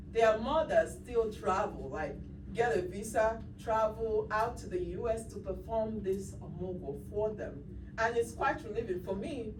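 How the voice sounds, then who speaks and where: A woman's voice: medium pitch, far from the mic. A woman giving a conference breakout talk, speaking conversationally with restarts as she thinks aloud. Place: conference room. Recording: roomy sound from off-mic with clear background noise.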